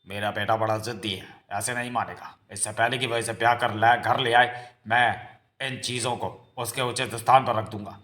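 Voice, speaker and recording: Casting: male reader as scheming old rich patriarch, bright forceful voice, scolding, melodramatic, comic-book drama. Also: mid-range voice, little pitch movement, moderate pace, close to an everyday voice. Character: rich, stubborn, scheming old village landlord father.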